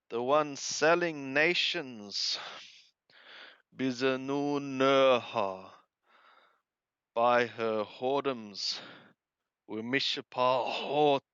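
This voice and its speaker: Guy reading scripture really slowly n translating bit by bit, halting, highish voice, dull lo-fi dry recording. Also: fairly bright tone, smooth, dry recording. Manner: halting, deliberate, repeats and corrects wordings. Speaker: amateur Bible reader and translator. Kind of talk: reading Hebrew scripture aloud with phrase-by-phrase translation.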